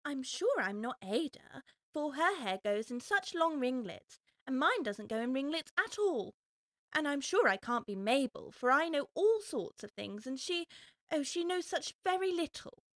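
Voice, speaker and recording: Casting: young storybook girl, high and very animated, earnest, puzzled, prone to fretting. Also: moderate pace, no echo, clean studio sound, full character voice. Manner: earnest self-questioning, talks to herself aloud. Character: young girl Alice, polite, puzzled, easily upset. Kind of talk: full-cast audiobook storybook acting.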